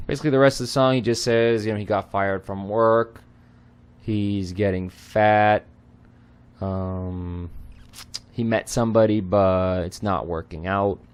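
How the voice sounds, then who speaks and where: Slow, low-voiced man explaining lyrics. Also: clean tone. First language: not Spanish. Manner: relaxed, filler-heavy, explains word by word. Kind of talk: casual lyric translation lesson.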